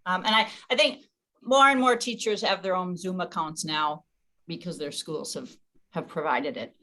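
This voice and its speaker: Bright-voiced woman leading an informal meeting. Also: lowish voice, moderate pace. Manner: chatty, self-interrupting. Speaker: meeting leader and organizer.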